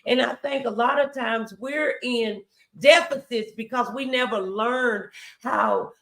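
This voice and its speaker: Female faith-show host, noticeable vocal fry, emphatic preacherly delivery aimed at viewers. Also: a little high, takes it slowly, a bit bright. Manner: exhortatory, emphatic, addressing viewers directly. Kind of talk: motivational faith talk on a livestream.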